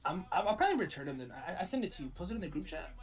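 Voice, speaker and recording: A man rattles off slangy podcast banter at a quick clip. He often stumbles, repeats himself and restarts. Faint music plays behind him. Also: high voice, very animated. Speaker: podcast co-host. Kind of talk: casual banter.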